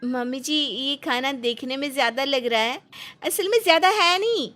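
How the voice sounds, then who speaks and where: A woman voicing a sweet-talking, defensive young daughter-in-law in an animated, fussy way for a cartoon family story. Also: sits high, normal speed, pitched above the performer's own voice. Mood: sweet, coaxing. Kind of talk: dramatized cartoon storybook dialogue.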